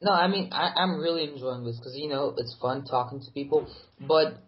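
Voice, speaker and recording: A man's voice: mid-range voice, a little fast. A man joking and teasing his friends in a casual podcast chat. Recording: muffled lo-fi sound.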